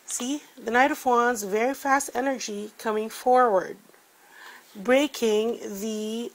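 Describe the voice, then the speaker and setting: A woman's voice: a little high, fairly even intonation, slow pace, poor audio. A woman with a congested voice, giving a chatty weekly tarot reading with many fillers. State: congested from a cold. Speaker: tarot reader.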